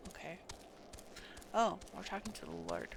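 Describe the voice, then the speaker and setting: A woman's voice: lowish voice, wildly swooping pitch, moderate pace, creaky, noisy background. A woman live streaming a game, chatting casually and murmuring to herself as she plays. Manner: chatty, murmuring to self while playing.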